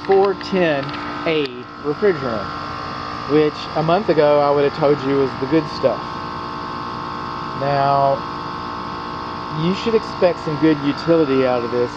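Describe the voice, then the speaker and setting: A male home inspector filming a walkthrough outdoors beside a house, explaining what he sees in a folksy, digressive way. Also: a little high. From North Texas. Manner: folksy, digressive, talks to the viewer. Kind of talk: walkthrough commentary while filming. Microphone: handheld camera mic. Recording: muffled outdoor camera audio with hum.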